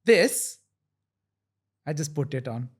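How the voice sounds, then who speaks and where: A man's voice: fairly high pitch, expressive, takes it slowly, breathy edge. A young man with an English accent, co-hosting a podcast in a chatty, jokey, self-deprecating way. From England. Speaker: podcast co-host. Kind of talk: casual chat.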